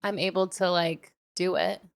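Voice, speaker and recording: A woman talks casually as a podcast co-host, her voice sitting slightly on the low side. She is informal and slangy, working through her thoughts out loud in everyday conversational language. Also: level tone, normal speed, creaky. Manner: informal, slangy, thinking aloud.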